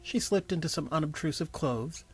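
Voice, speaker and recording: A man reading scripted story narration as a storyteller, in an expressive way. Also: fairly high pitch, moderate pace, faint music behind. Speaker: narrator and voice actor.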